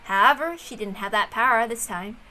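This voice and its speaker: A woman reading a story aloud as narrator, in an expressive storytelling style. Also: a little high, brisk, faint music behind. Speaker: reader of a visual novel.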